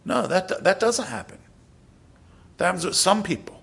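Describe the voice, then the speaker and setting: A middle-aged male pastor with a gravelly, husky voice pitched a little high. He preaches in a loose, conversational way, restarting his sentences, then pushing up to emphatic peaks before dropping almost to a whisper. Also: very animated, normal speed, fairly bright tone. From South Philadelphia. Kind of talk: sermon.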